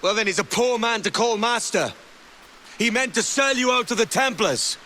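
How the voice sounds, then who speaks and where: An actor playing a roguish, cocky young pirate in a video game, wry and cheeky in manner. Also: male voice, extremely high pitch, moderate pace, bright, forceful tone, slight background noise. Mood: defiant, wry. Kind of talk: cinematic video game acting.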